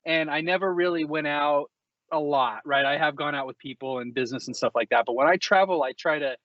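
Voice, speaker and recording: A man's voice: a little high, a little fast, no echo. Man, show host, effusive, casual chat, dry sound, slightly muffled audio. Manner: enthusiastic, effusive. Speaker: podcast host, company executive.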